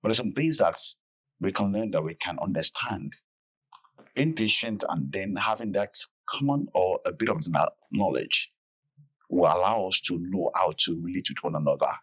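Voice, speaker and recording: A man explaining things phrase by phrase in a measured, conversational interview manner. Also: low-pitched, lively intonation, a bit bright, slightly rough, rough recording. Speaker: podcast guest, festival director.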